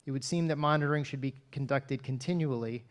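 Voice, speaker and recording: A man addresses a planning board in a measured, businesslike way from a podium microphone. His voice sits at an ordinary middle pitch for a man. The room sounds like a town hall meeting space. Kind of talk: formal public comment at a planning meeting.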